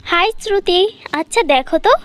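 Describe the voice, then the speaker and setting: High-voiced poor cartoon girl, pleading, emotional, woman doing it. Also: animated, normal speed, a bit bright, no room sound. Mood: excited. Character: poor young girl, tearful then overjoyed.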